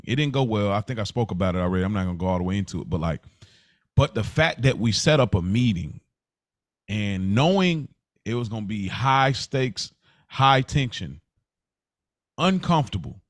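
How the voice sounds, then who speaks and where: Man in casual, halting podcast talk, close dry sound. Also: fairly low pitch, no room sound. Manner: halting, repeats words for emphasis. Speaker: podcast co-host. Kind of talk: casual reflective podcast chat.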